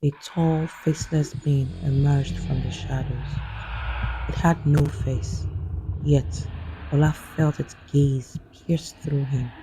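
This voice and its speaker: A man narrating a scripted horror folktale as a storyteller, in a grave, suspenseful manner with a husky voice. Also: on the high side, fairly even intonation, noisy background. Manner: slow, grave, suspenseful delivery.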